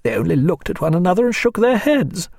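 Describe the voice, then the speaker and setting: A shrill, haughty older lady scolds in a high, lively voice that swoops up and down, as a man puts her on. She sounds cross and superior, and her lines land hard, in a dry, close audiobook reading. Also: fairly quick, vocal fry, no room sound, exaggerated voice. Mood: indignant. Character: snobbish older gentlewoman, sharp and indignant.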